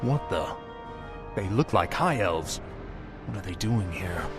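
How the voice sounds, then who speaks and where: Death knight prince: low voice, grim, arrogant, urgent, fantasy game drama with music underneath. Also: male voice, lively intonation, a little slow. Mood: surprised, threatening. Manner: haughty, urgent. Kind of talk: video game cutscene acting.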